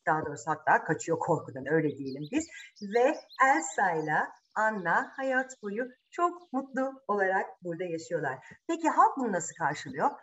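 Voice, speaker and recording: A woman's voice: medium pitch, very animated, moderate pace, a bit bright. A woman storyteller retelling a fairy tale to children in a dramatic, conversational way, with rhetorical questions and repetition. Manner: dramatic, rhetorical questions, repeats phrases for suspense. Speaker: children's storyteller, channel host.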